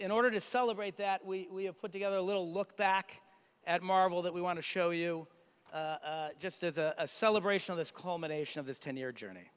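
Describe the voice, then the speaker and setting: Halting male speaker on a big stage, smooth voice, hall reverb. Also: high-pitched. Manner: halting, restarts words. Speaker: film director speaking on a panel. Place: large convention hall stage. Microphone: handheld microphone.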